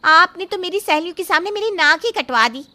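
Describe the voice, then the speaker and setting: A woman's voice: very high voice, expressive, a little fast, bright, forceful tone, no room sound, heavily acted. A woman playing a spoiled, pouty twenty-one-year-old little sister in a story drama, whiny and petulant. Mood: whiny, disappointed. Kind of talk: dramatised Hindi moral story acting.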